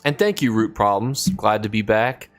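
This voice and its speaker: A man's voice: lowish voice, lively intonation, normal speed, faint music behind. A man losing his voice from a cold, chatting casually on a live stream while he plays and reads out chat, rambling as he thinks aloud.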